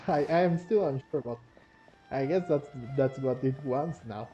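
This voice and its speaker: A man giving casual gameplay commentary as a video host, halting and often chuckling, with game music behind him. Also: mid-range voice, warm tone, dull audio.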